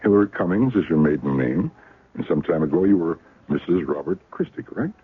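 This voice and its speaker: An actor playing a courteous, evasive older man who heads a secret intelligence outfit, speaking in an unhurried way full of hums and hesitations. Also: sits low, animated, slightly rough, dry recording, exaggerated voice. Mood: persuasive. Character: genial older male spymaster, smooth and evasive. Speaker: head of an intelligence operation. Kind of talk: 1970s radio drama acting. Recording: tinny, lo-fi old radio broadcast.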